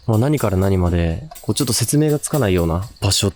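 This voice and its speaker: A man's voice: fairly low pitch, lively intonation, fast, a little mellow, faint music behind. A man hosting an unscripted ghost-hunting video on location outdoors, talking in a casual, conversational way. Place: outdoors at a suspension bridge.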